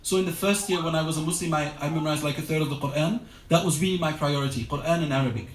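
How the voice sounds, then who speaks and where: Man, high-pitched voice, earnest exhortative lecture, frequent restarts, roomy echo. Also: very fast. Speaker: religious teacher. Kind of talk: lecture to a study circle. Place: lecture room.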